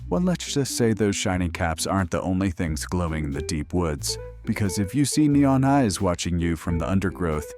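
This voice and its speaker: Eerie late-night radio host, very low voice, wry and ominous horror narration over prominent music. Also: male voice, a little mellow.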